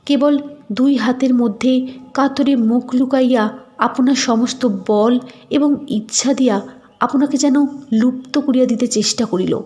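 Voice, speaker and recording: A woman reading scripted literary narration as a storyteller, measured and expressive. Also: fairly high pitch.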